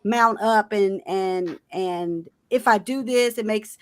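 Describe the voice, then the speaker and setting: A woman's voice: medium pitch, slow. A woman hosting a panel discussion, asking earnest questions and often repeating words as she thinks. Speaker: host.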